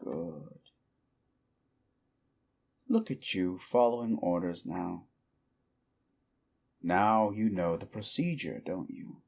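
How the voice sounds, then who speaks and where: Deep, slow, paranoid yandere prince, soft menace and whispers; gravelly, animated delivery. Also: male voice, full character voice. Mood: commanding. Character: unhinged possessive young prince, paranoid. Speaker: voice actor. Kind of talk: audio roleplay drama. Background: faint dark music.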